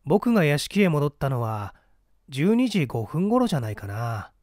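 A man's voice: on the high side, big pitch swings, normal speed, a little mellow, dry recording, studio quality. A man playing an unruffled young man in his twenties, giving matter-of-fact testimony in a mystery audiobook.

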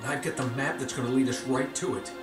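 A male actor plays a glib young American adventurer in a video game. He tosses off wisecracks and mutters to himself, his voice echoey and set back from the mic under clear music. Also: medium pitch, fairly even intonation, quick pace, lightly acted. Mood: excited, musing. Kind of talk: naturalistic video game acting. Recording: echoey game audio from far off, loud music.

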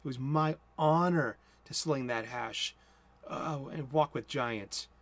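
A man's voice: medium pitch, slow pace. A man in his forties with a Boston accent, giving a casual spoken sermon from a cluttered home room, rambling and interrupting himself as he talks. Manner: rambling, self-interrupting, mumbles and speeds up when excited. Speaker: host.

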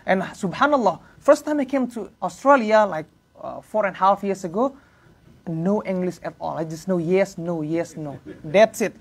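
A man in his thirties with an Indonesian accent, giving an informal religious lesson in halting English, filling pauses with "yeah" and "uh". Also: high-pitched, expressive, breathy edge. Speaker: religious teacher. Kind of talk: informal lecture to a small class. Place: prayer room, indoors.

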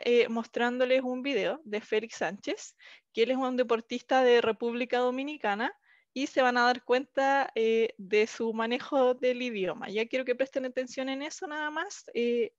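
Higher-pitched young Chilean woman. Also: no echo. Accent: Chilean Spanish. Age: young adult. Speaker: English teacher presenting a language project. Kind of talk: online presentation. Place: small indoor room, video call. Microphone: headset. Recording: muffled video-call headset audio.

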